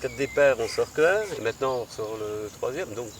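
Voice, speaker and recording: A man's voice: mid-range voice, brisk. A man with a Belgian French accent, an angler answering interview questions by a lake, hesitant with many fillers. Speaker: angler competitor. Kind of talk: casual interview. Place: outdoors by a lake. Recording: distant mic, crickets and nature noise. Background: crickets and rural ambience.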